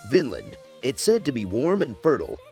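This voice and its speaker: A man's voice: medium pitch, huge pitch swings, a little fast, dark tone, faint music behind. A man hosting and narrating a scripted countdown video.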